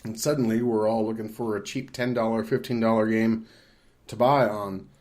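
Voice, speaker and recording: A man's voice: fairly low pitch, steady tone, normal speed, a little mellow. A man talking casually as a podcast host, in a rambling, informal and opinionated way. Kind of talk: casual discussion of games.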